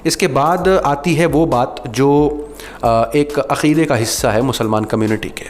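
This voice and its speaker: A man with an Indian accent hosting an explanatory talk to viewers, speaking earnestly in measured phrases. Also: medium pitch. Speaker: video host explaining and commenting. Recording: clearly noisy background ambience.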